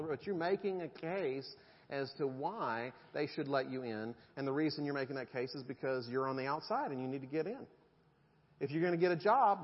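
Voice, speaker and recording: A middle-aged man, preaching a conversational sermon with jokes to his congregation. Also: a little high. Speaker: pastor. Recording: dull sound in a reverberant hall.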